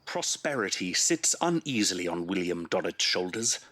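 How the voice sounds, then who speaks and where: A man's voice: sits low, very animated, moderate pace, bright, forceful tone, a little hiss or hum. A man narrating an audio drama in a dramatic, scripted storytelling style. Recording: music behind the voice.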